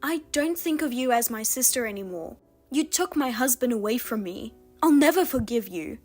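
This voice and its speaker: A woman plays a bitter older sister in a voiced drama, cold and firm, keeping her voice flat and restrained. Her voice sits fairly high, with soft music underneath. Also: moderate pace. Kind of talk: narrated drama voice-over.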